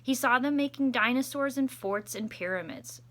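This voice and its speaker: A woman teacher reading a story aloud to children with expressive, deliberate phrasing. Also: high voice.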